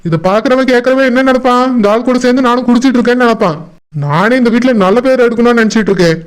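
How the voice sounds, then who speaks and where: Man playing a high-voiced, grumbling young villager. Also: rapid-fire, clean tone. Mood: irritated grumbling. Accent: Kanyakumari Tamil. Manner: grumbling, irritated. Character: exasperated young village man minding his reputation. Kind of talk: village comedy cartoon dialogue.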